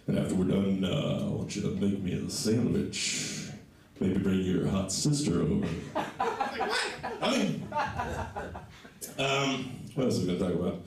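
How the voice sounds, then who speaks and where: A man with a low voice doing a stand-up comedy set in a small comedy club, telling stories with lively, animated delivery. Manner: animated storytelling, voices for others. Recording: echoey club sound from a distant mic.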